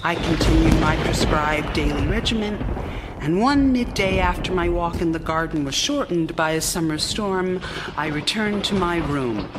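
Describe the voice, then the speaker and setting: An actress playing a troubled, fixated wife confined to her room, in live radio drama style with a brooding, deliberate delivery. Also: lowish voice, expressive, over loud music, natural voice. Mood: brooding, agitated. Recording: live theater recording with faint rain noise.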